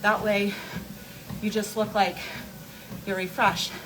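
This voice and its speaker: A woman of about forty talks to her followers in a casual live-stream style, at a middle pitch. She is candid and informal, repeating words for emphasis. The audio carries clear noise behind her voice. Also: level tone, slow pace. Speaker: host of a beauty and wellness live stream.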